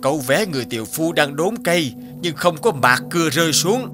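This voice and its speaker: A man's voice: on the high side, lively intonation, a little fast, natural voice. A man voicing an older male farmer in a cartoon, plain-spoken, curious and blunt. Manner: blunt, folksy. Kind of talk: cartoon dubbing. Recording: music behind the voice.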